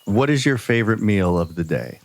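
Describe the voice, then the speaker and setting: A man's voice: lowish voice, animated, brisk. A man co-hosting a podcast in a casual, joking conversational way. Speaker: podcast co-host. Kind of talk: casual chat.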